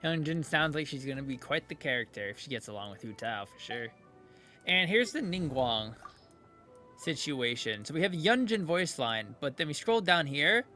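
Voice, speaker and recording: A man's voice: a little high, sing-song, normal speed, sharp tone, faint music behind. A man hosting a video in a casual, rambling, informal reaction commentary style. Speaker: video host commenting on game voice lines.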